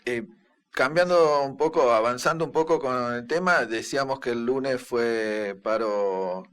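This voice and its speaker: A man's voice: medium pitch, measured pace, fairly bright tone, smooth. A man with an Argentine accent hosting a radio talk show, leading the talk with casual questions. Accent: Argentine Spanish, voseo. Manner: conversational, prompts guests with short questions. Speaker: radio host. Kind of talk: radio interview.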